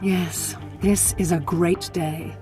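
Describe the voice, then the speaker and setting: A woman's voice: on the low side, steady tone, slow, music in the background. A woman voicing a scheming, power-hungry Sith noblewoman in a video game, formal, silky and imperious. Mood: satisfied, imperious.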